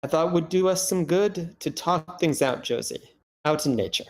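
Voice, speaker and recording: A man's voice: fairly high pitch, expressive, moderate pace. A man playing an earnest, placating husband in a screenplay table read. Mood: gentle, reflective. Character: earnest, placating husband hiking with his wife. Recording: background noise on a live meeting.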